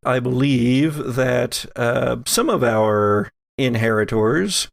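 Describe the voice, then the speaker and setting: A man hosts a tabletop horror game podcast with a noticeably creaky voice. He narrates at a slow pace, at an average pitch, and sounds close and dry. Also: no room sound. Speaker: game master and host.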